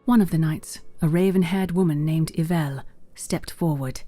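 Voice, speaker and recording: A woman's voice: low-pitched, normal speed, warm tone, no echo. A woman narrating a fantasy story in a scripted, dramatic storytelling style. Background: faint music.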